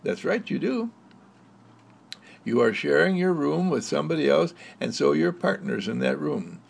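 A man voicing Mister Candle, a kindly talking candle, in a soft, soothing, praising manner. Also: a little high, very animated, normal speed, gravelly, breathy edge, pitched above the performer's own voice, exaggerated voice. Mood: gentle.

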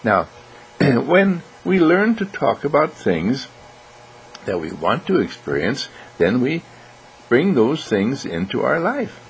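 A man voicing Mister Candle, a kindly talking candle who tells children bedtime stories in a gentle, reassuring, deliberate way. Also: mid-range voice, big pitch swings, a little hiss or hum, pitched above the performer's own voice, low-quality recording.

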